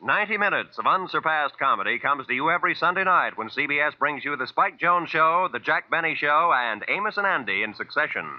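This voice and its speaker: A man's voice: on the high side, animated, fairly quick, few pauses, dry recording. An American man announcing for network radio in a polished, formal, scripted 1940s style. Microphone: dry studio broadcast mic. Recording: muffled vintage radio recording, faint music.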